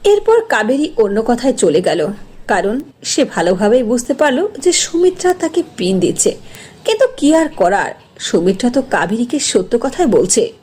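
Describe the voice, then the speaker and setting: A woman's voice: fairly high pitch, very animated, moderate pace, darkish tone, breathy edge. A woman narrating a scripted cartoon story as its storyteller.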